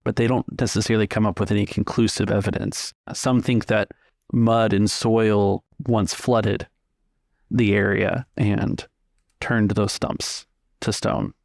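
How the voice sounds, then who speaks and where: A man working as game master, narrating a tabletop roleplaying game in measured, halting descriptions with pauses. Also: lowish voice, flat delivery, gravelly, a little mellow, no echo, studio quality.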